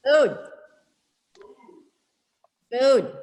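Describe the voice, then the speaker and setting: Female video-call participant, very high pitch, slow casual delivery. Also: sing-song, darkish tone. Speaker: participant in an online group event. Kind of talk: casual group chat.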